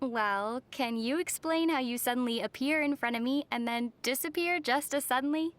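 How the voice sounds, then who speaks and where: A young wandering adventurer girl from a fantasy video game, acted by a woman. Her voice is high and youthful. She speaks softly and with composure, with a wistful temper and a dry, teasing edge. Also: normal speed, no room sound, natural voice. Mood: calm, reflective.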